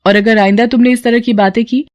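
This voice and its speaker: A woman's voice: on the high side, fairly even intonation, brisk, natural voice. A woman voicing a strict female professor who lectures and scolds firmly. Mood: stern. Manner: stern, lecturing, sharp warnings.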